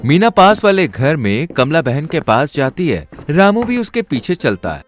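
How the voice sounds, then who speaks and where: A man's voice: on the high side, very animated, measured pace, soft background music. A man narrating a scripted cartoon story.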